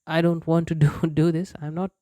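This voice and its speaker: A young man talks casually to his viewers in English, which is not his first language, and he keeps circling back with fillers and restarts. His voice is a touch high for a man but warm and mellow in tone. The recording is dry and close, with no room sound. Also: level tone, brisk, dry recording. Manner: rambling, frequent fillers and restarts, chuckles often. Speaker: YouTuber. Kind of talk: casual unscripted vlog chat.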